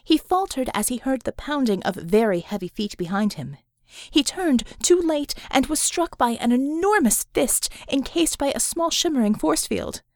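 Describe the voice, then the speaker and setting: A woman's voice: high voice, lively intonation, normal speed, dry recording, clean studio sound. A woman narrating action fiction as an audiobook, reading scripted prose dramatically.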